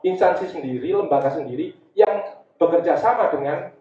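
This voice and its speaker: Echoey, distant, higher-voiced East Javanese man. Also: normal speed, mellow, a little hiss or hum. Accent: Javanese Indonesian, East Java. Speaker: guest speaker, community education founder. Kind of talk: informal talk with personal anecdotes. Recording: dull, echoey, distant from the mic.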